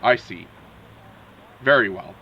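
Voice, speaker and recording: A man's voice: on the low side, slow, bright, forceful tone, muffled recording, a little hiss or hum, exaggerated voice. A man playing an undercover agent who poses as a polite, persistent reporter, probing skeptically in an audio drama. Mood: puzzled.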